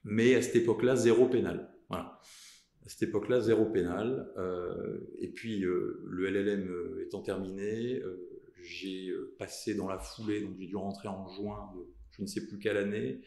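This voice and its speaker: Thirties guy from the south of france, low voice, lots of ums and restarts. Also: fairly even intonation, gravelly, warm tone, reverberant. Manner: halting, many fillers and restarts. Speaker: lawyer guest. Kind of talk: podcast interview, recounting career.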